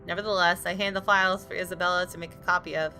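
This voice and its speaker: A woman with a slightly low voice narrates a story game aloud. She reads scripted prose expressively, with music clearly audible behind her. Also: little pitch movement, very fast, pushed and bright. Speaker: gaming video host reading the story.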